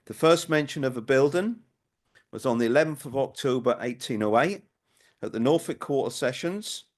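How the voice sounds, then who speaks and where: An older man, in his sixties or beyond, with a Norwich accent, giving a prepared history talk in a measured, rhetorical way. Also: mid-range voice, lively intonation. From Norwich, England. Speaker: local history speaker.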